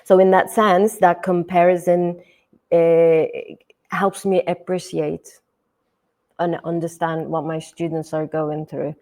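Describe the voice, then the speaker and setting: Slow, lowish-voiced woman from turkey, turkish is her first language, lots of ums and et ceteras. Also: flat delivery, a little mellow. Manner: thoughtful, many fillers, repeats "et cetera". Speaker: guest educator. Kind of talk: podcast interview.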